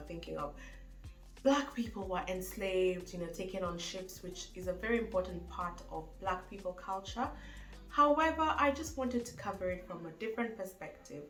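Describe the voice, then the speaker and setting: A woman's voice: lowish voice, a bit bright. A young woman with a Kenyan accent, talking casually to camera on her vlog, with lots of fillers. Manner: chatty, with frequent fillers. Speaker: vlogger. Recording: echoey room, faint music behind.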